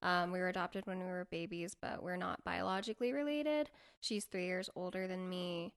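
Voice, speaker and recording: Twenties female co-host, smooth clear voice, relaxed chatty delivery. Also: on the low side, moderate pace. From Colorado, USA. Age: 20s. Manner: relaxed, chatty, laughs between words. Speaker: podcast co-host. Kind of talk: casual chat.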